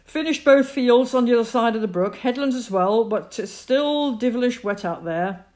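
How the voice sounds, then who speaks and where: A woman voicing a gruff, blustering middle-aged male farmer in a storybook reading. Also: on the high side, normal speed, a little mellow, pitched above the performer's own voice, lightly acted.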